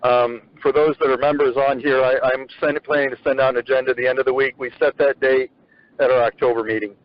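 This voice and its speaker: A man hosting a conference call, speaking haltingly with repeated words and many fillers. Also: medium pitch, little pitch movement. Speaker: host of an agricultural education conference call. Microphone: phone on a conference call. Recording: muffled conference-call audio.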